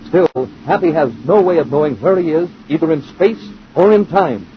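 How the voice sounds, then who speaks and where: A man's voice: fairly high pitch, expressive, moderate pace. A man narrating a 1950s radio adventure serial in a dramatic scripted style. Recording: noisy, dull 1950s radio recording.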